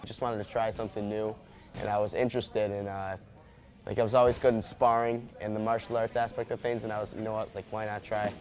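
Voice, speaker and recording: A young man speaks in a fairly low, quite flat voice. He sounds like an athlete giving casual interview answers. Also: fairly quick. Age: young adult.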